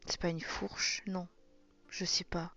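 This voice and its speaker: A woman's voice: on the low side, level tone, slow pace, sharp tone. A woman giving casual, enthusiastic live commentary, exclaiming in awe as she reviews something. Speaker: host of a colouring book flip-through. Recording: muffled sound with music underneath. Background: music.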